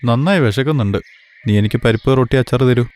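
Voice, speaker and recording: A man plays a merchant in a cartoon tale. He talks quickly at a medium pitch, with a blunt, demanding manner. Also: darkish tone, lightly acted. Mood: hungry. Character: blunt male merchant, a demanding roadside customer.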